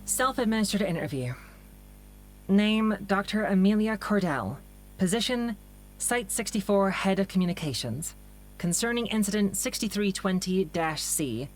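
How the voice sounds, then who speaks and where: A woman's voice: medium pitch, animated, unhurried, a little hiss or hum, close to an everyday voice, rough recording. An actress playing a businesslike woman doctor, clipped and guarded as she records an official log in an audio drama. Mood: formal, procedural.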